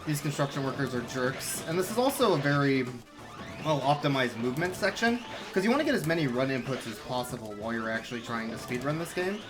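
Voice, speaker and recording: A man commentating his own video game speedrun in a relaxed, explanatory way, with sudden excited outbursts. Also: medium pitch, fast talker, low-quality recording. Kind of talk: casual live-stream commentary. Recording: game music playing behind.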